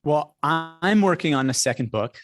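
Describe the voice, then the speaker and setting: A man's voice: on the high side, animated, moderate pace, dry recording. Male podcast host, close dry sound. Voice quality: practised public speaker. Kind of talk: conversational podcast talk.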